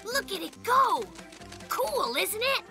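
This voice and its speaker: High-pitched, cocky little bear cub boy. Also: takes it slowly, exaggerated voice. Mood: boastful. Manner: boastful, excitable, bossy. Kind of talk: children's cartoon voice acting. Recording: music behind the voice. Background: children's cartoon music.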